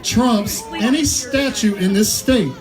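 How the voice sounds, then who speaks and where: A man with a fairly high voice delivers a rally speech, pushing each point with raised, emphatic delivery. A cheering crowd is loud around him. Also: slow, over music. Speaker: rally speaker.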